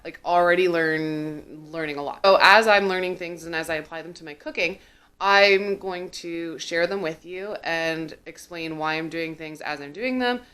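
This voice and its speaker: A woman's voice: fairly low pitch, fairly bright tone. Chatty young woman with a cold. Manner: chatty, with many fillers and laughs. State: getting over a cold, sniffly. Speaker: cooking vlogger. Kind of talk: casual chat to camera.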